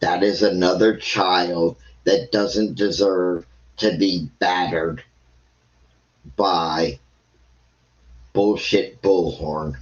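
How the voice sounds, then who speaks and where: A man talks slowly and bluntly over muffled stream audio. He is scornful and swears freely, commenting like someone reacting live online. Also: sits low, steady tone.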